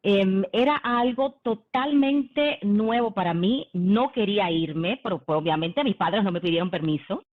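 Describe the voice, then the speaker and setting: A woman in her forties, from the Dominican Republic, shares her life story in a leadership talk. She speaks at an ordinary pitch and pauses often on "em" and "eh". The sound is thin and phone-like, like an online meeting. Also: expressive. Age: 40s. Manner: warm conversational, frequent "em" and "eh" fillers. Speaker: company executive giving a leadership talk. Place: video call.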